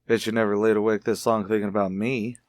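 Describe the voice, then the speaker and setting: A man's voice: on the low side, flat delivery, fast talker, no echo, clean studio sound, lightly acted. A man acting as an easygoing, wry married amateur sleuth in a radio mystery drama. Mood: drowsy, teasing. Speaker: actor.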